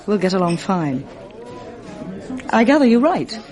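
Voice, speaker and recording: An actress playing a clever, self-assured young female Oxford student at a party, brisk and teasing in a radio drama. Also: mid-range voice, sing-song, darkish tone. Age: young adult. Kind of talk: 1980s radio drama acting.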